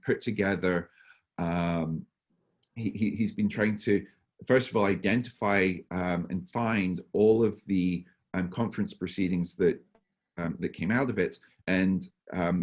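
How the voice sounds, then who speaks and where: A man with a hoarse, fading voice and a cough, answering workshop questions over a video call with many stammered restarts and fillers. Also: sits low. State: hoarse, losing his voice with a cough. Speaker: workshop presenter.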